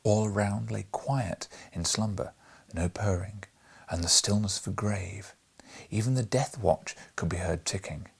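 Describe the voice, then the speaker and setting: A man reading a children's classic aloud as an audiobook narrator, measured and dramatic in his storytelling. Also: lowish voice, vocal fry, breathy edge.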